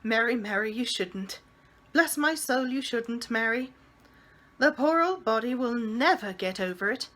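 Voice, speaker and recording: Merry eccentric old gent voiced by a woman, bright storybook read. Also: fairly high pitch, expressive, unhurried. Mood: amused. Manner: merry, fond exclamations. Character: jolly, eccentric older gentleman.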